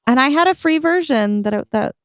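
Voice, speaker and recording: A woman's voice: high voice, animated, moderate pace, dry recording, clean studio sound. A woman taking part in a podcast chat, speaking informally and peppering her sentences with "like". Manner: informal, uses "like" often. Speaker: podcast participant. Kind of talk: casual chat.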